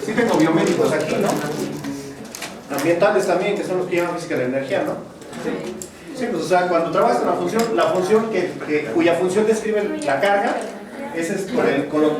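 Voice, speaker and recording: A man with a Mexican Spanish accent teaching a university math class, chatty and joking between explanations. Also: high-pitched. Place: large classroom. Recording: echoey classroom, distant, students murmuring.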